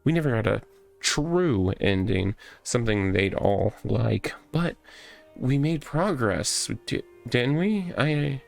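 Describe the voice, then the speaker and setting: A man acts out a needy, unsettled game character, a self-pitying cop with a gravelly voice. He drags his words slowly, stammering and circling back over them, with game music underneath. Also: medium pitch, lively intonation. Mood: wistful, fragile. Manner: halting, stammering, repetitive.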